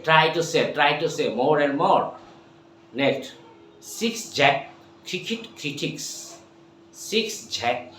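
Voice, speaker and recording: A man from Bangladesh with a Bangladeshi English accent, teaching English by repeating tongue twisters slowly and emphatically. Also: mid-range voice, fairly bright tone. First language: Bengali. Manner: repeats phrases slowly and emphatically, addresses viewers as my dear. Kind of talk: tongue twister drill lesson. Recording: echoey room, faint background noise.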